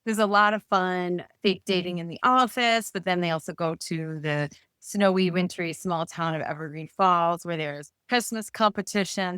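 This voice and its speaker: A woman with a smooth voice on the lower side talks as an interviewed author. She is upbeat and playful, telling stories in a conversational way. The recording is very dry. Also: fairly bright tone, dry recording. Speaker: romance author being interviewed. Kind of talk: conversational book pitch.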